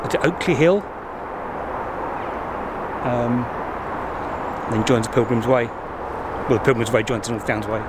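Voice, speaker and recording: Brief: vlogger, fifties, rambling chat, constant motorway noise behind. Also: male voice, medium pitch. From England. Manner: chatty, rambling, pauses to muse. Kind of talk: casual walking vlog. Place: outdoors by a country churchyard near a motorway. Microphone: handheld camera at arm's length. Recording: loud traffic noise behind.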